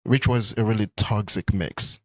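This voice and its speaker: A man co-hosting a couples podcast, telling stories in a relaxed, unhurried way and leaving sentences for his partner to finish. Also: lowish voice, no echo, clean studio sound. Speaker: podcast co-host. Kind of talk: casual conversation.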